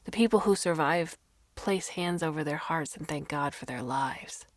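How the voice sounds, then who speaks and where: A woman reading a first-person short story as narrator, in a dramatic, expressive manner. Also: low voice, moderate pace, dry recording.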